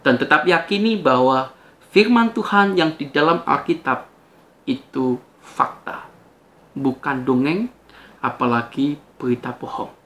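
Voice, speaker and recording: A man's voice: mid-range voice, measured pace, pauses often, a bit bright. A man delivering a preachy rebuttal monologue to viewers, emphatic and challenging, with rhetorical questions.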